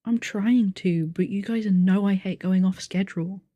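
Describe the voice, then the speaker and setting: A woman's voice: medium pitch, fairly even intonation, normal speed, warm tone, no room sound, dull audio, natural voice. A woman playing a strict, blunt game master of a nonhuman species, matter-of-fact and pedantic, in an ASMR audio roleplay. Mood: defensive. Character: strict, blunt, rule-following game master with sensitive ears, female nonhuman.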